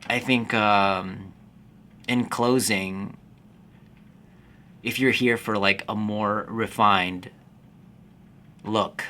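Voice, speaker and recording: A man in his forties, born in the Philippines, a fitness business coach talking to camera in a calm, slow, unhurried way. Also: deep voice, slight background noise. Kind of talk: casual talking-to-camera vlog.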